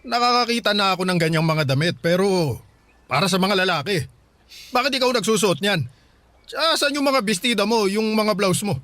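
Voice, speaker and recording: Higher-pitched, caring Filipino father. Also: animated, moderate pace, no echo. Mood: puzzled, concerned. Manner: gentle, questioning, hesitant. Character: caring, bewildered middle-aged father of a young daughter. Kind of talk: Tagalog radio drama acting.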